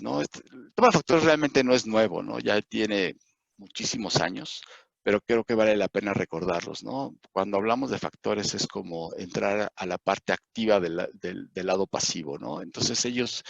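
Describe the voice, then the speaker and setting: Mexican male presenter, low gravelly voice, hesitant with fillers, dry lo-fi webinar audio. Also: no room sound. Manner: halting, many fillers and restarts. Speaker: webinar presenter on investment indices. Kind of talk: live webinar presentation over slides. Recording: dull, lo-fi sound.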